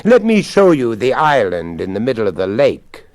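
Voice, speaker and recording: A man's voice: medium pitch, wildly swooping pitch, moderate pace, a little mellow, no echo, studio quality, voice pushed up. A man voicing a sly male stranger in a folktale who speaks in commanding invitations. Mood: bold challenge.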